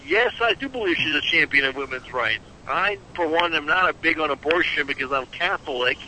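A man's voice: a little high, lively intonation, fairly quick, bright, forceful tone, a little hiss or hum, poor audio. A man on a political debate panel, arguing defensively and repeating himself. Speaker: panel guest.

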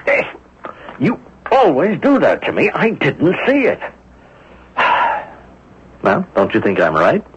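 An actor playing a gruff, skeptical police inspector who grumbles and hesitates in a radio mystery drama. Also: male voice, on the high side, huge pitch swings, slow, pauses often, slight background noise, exaggerated voice. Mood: whiny, complaining. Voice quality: gravelly, husky. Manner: grumbling, hesitant with many uhs. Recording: dull lo-fi old radio recording.

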